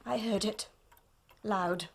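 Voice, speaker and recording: A woman's voice: medium pitch, slow pace, vocal fry, husky, exaggerated voice. An actress playing a guarded, weary young woman in a radio drama, curt in her answers. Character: guarded young woman, a dead man's daughter.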